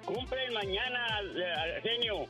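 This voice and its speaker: A man calling in to a radio show, chatting casually in a halting, repetitive way. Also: high-pitched, expressive, over music. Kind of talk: casual call-in chat.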